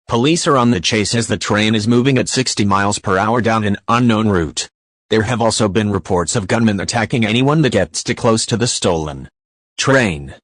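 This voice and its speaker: Male TV news anchor, acted by a man, composed and formal, lowish pitch, dry close sound, scripted breaking report. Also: normal speed, fairly bright tone, dry recording. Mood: composed, serious. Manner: formal, matter-of-fact.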